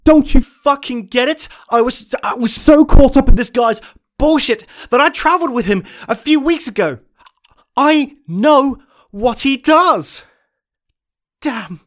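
A man's voice: very high-pitched, lively intonation, pitched above the performer's own voice. Guy doing an unstable haunted confessor, stammery and obsessed, horror tape monologue. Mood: frantic, desperate. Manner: stammering, halting, repeating words. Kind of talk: dramatic first-person horror monologue.

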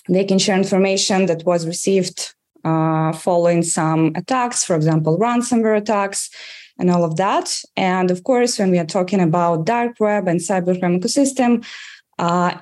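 A woman in her thirties with a Russian accent, a guest answering interview questions in measured, explanatory speech with frequent pauses. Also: medium pitch, a little mellow. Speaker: guest, threat research director.

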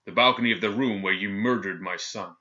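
A man voicing a cold, sadistic male governor general who speaks coolly and with calm menace. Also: on the low side, a little fast, dull audio. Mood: cold menacing. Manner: cool, clipped, menacing.

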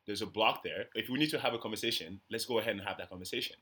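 A young Canadian man hosting a podcast, talking emphatically in casual conversation with a naturally loud voice. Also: on the low side, very fast, husky. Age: young adult. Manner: emphatic, says he has a loud voice. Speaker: podcast host.

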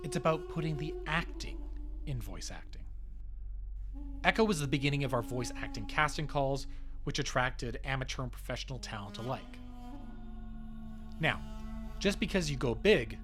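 A man hosts a podcast in scripted narration, with a mid-pitched voice. Music plays clearly behind him as he presents a look back. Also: animated, moderate pace, long pauses. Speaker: podcast host and audio drama producer. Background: background music.

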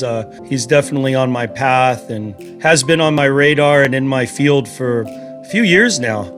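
A man from the United States hosting a spiritual podcast, speaking in an earnest, heartfelt way with many pauses and fillers. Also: medium pitch. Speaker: podcast host.

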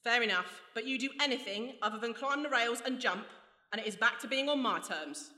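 A woman's voice: on the high side, brisk, pushed and bright. A woman acting a vengeful, gloating criminal mastermind in a radio play, with a taunting, menacing manner. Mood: impatient, suspicious. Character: vengeful, gloating female villain, a gun-toting criminal mastermind. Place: stage before a live audience. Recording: echoey hall, live stage.

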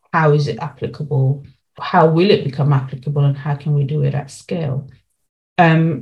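A woman's voice: sits low, dark tone, poor audio. Earnest woman giving interview answers. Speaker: interview guest, education policy lead.

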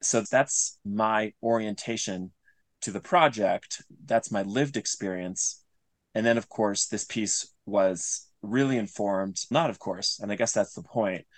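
A man's voice: lowish voice, measured pace, no echo. A man speaking as an interview guest, explaining things earnestly in a conversational way and often restating his phrases. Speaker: interview guest, audio drama creator.

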